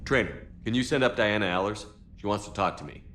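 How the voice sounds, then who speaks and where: An actor playing a disciplined military commander in a video game, speaking in a direct, formal, clipped manner. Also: male voice, on the low side, expressive, fast talker, a bit bright, slight background noise. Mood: composed, explaining.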